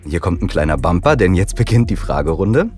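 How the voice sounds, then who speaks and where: A man's voice: sits low, very animated, brisk, a little mellow, soft background music. A man hosting a casual podcast chat, explaining things in a friendly way while he has a cold. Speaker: podcast host.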